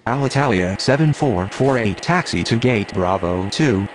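A low male voice, acted by a man, works air traffic radio in a game. He is formal and businesslike, with clipped phrases over faint vehicle noise. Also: expressive, moderate pace, natural voice. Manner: formal, procedural.

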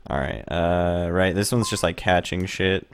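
A man's voice: low voice, measured pace. A young man giving casual commentary as he streams a game. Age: young adult. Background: game music.

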